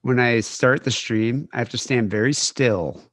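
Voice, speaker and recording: A man's voice: mid-range voice, measured pace, a bit bright, no echo, clean studio sound. A man in his forties, a podcast co-host, joking loosely and swearing as he sets up a livestream. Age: 40s. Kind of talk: casual banter before a livestream.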